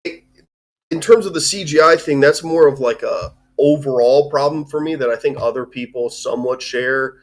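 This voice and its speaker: A man co-hosting a casual live movie discussion, talking in a halting, rambling way with plenty of swearing. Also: medium pitch. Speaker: co-host reviewing a film. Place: live online stream.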